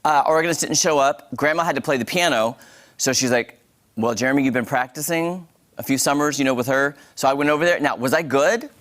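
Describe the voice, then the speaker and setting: A man co-hosting a morning show, chatting and telling stories in an expressive, casual way. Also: mid-range voice, brisk. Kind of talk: casual chat, storytelling.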